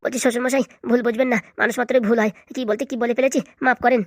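A woman's voice: fairly high pitch, level tone, rapid-fire, dry recording, clean studio sound, close to an everyday voice. A woman voicing a meek young husband who pleads hesitantly in a comic cartoon. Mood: pleading. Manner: hesitant, placating, stammering. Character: meek, pleading young student husband, henpecked son-in-law. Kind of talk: comic cartoon drama dialogue.

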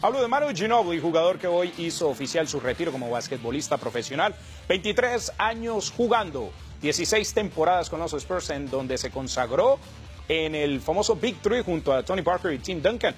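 A man's voice: on the high side, lively intonation. Male sports anchor, formal broadcast read, light music bed underneath. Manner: brisk, formal newsreading delivery. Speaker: news anchor.

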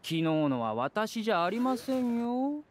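高い声の怠け者の若い部下. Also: male voice, high-pitched, big pitch swings, unhurried, slight background noise. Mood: sheepish protesting. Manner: whiny protesting casual politeness. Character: lazy young subordinate, sheepish and excuse-making. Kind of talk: Japanese anime game acting.

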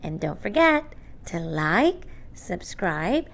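A woman reading a children's storybook aloud in an expressive, deliberate narrating style. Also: fairly low pitch, creaky. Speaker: storybook reader.

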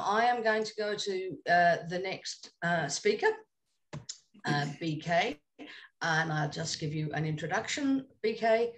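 A woman's voice: deep voice, a little hiss or hum, low-quality recording. A woman moderating a panel, introducing the next speaker with frequent hesitations. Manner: hesitant, many fillers.

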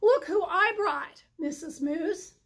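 A woman's voice: extremely high pitch, slow, fairly bright tone, heavily acted. A woman voicing a kindly, determined male moose in a storybook, earnest and polite, with emphatic calls. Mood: polite, pleased.

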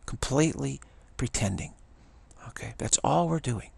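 An older man, a spiritual teacher, giving a rambling monologue, halting and repeating words, with frequent chuckles, recorded on an action camera. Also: medium pitch, animated, creaky, a little mellow, breathy edge.

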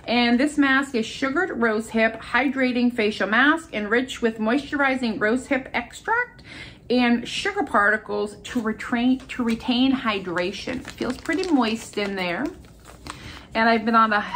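A woman's voice: a little high, a bit bright, a little hiss or hum. A middle-aged American woman with a stuffy, congested voice, chatting casually and enthusiastically as she presents shopping finds. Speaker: haul video host. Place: furnished room at home, indoors.

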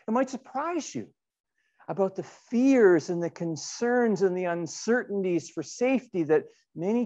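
A middle-aged man, a pastor, giving a sermon in an earnest, deliberate way with rhetorical questions and repetition. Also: high voice, a little mellow, highs cut off. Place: indoors in a church sanctuary.

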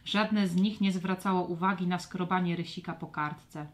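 A woman narrating a scripted children's audio story with expressive, storybook delivery. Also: on the low side, moderate pace.

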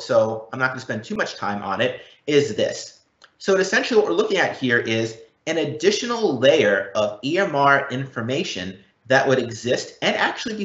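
A man presenting a software demo, explaining it step by step. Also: medium pitch. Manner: explanatory, walking through step by step. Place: indoor room, live event. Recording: dull, roomy live event recording.

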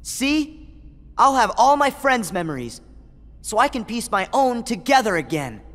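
A man's voice: extremely high pitch, very animated, unhurried, fairly bright tone, full character voice. An actor playing a brave, stubborn, loyal teenage boy hero in a video game, earnest and impassioned. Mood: confident. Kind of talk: video game cutscene acting. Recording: long hall reverb.

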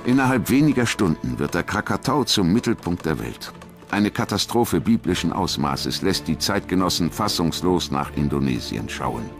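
A man with a low, deep voice narrates a documentary. His delivery is measured and grave, carefully scripted, with music clearly playing behind him.

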